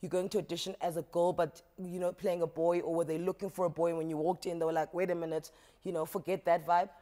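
A woman's voice: on the low side, flat delivery, dark tone. Playful chatty woman talk show host. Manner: playful, chatty, quick laughs. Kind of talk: casual studio interview. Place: tv studio set. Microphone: lapel mic.

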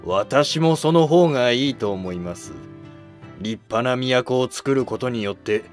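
A man's voice: medium pitch, animated, measured pace, faint music behind, natural voice. A man playing a deferential, earnest court minister in a scripted historical drama. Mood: respectful, explanatory.

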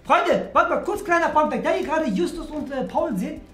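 A man's voice: extremely high pitch, big pitch swings, fairly quick, a bit bright, roomy sound. A man in his twenties hosting a reaction video, chatting casually and enthusiastically to viewers. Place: small indoor gaming room.

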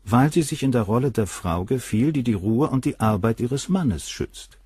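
A cold, cynical famous surgeon. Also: male voice, medium pitch, animated, moderate pace, a little mellow, breathy edge, dry recording, studio quality. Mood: cool. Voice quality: cool, cynical, dismissive. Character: cold arrogant famous male surgeon, middle-aged. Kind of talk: radio play acting.

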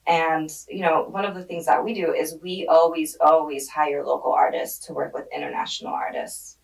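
A young woman born in Germany and raised in Hungary, answering interview questions in a relaxed way with many fillers, on a podcast mic in a studio. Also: sits low, moderate pace, far from the mic, rough recording. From born in Germany, grew up in Hungary. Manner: relaxed, thoughtful, with frequent fillers. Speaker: guest, street art curator. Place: studio with dark padded walls. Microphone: podcast mic on a desk arm.

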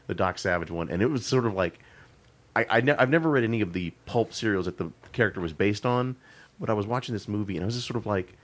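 A man's voice: on the low side, fast. A man on a podcast recounting what he watched, peppering his talk with "you know" and chuckles. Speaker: podcast co-host. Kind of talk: casual chat.

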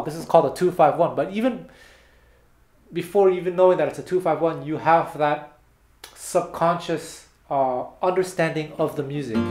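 Male guitar teacher, 30s, animated explainer, slightly high voice, echoey small room, guitar under. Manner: animated, explains with hand gestures between playing. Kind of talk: casual video lesson. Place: small bare room indoors, seated with guitar. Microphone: fixed camera at a few meters. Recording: roomy echo with guitar playing.

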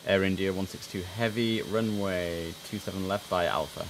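A young man with a deep, low voice works as a game air traffic controller, switching from crisp radio calls to relaxed chatter. His audio carries a clear layer of background noise. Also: moderate pace. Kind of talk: gameplay commentary with radio phraseology. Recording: noisy game stream audio.

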